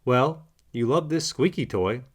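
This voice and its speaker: A man's voice: mid-range voice, expressive, a little slow. Man voicing a wry, aloof female cat.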